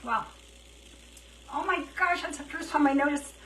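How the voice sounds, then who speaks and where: A woman chatting casually on a live craft stream, thinking out loud as she works, her throat dry and her voice feeling worn. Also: sits high, normal speed. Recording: roomy, slightly distant, faint hiss.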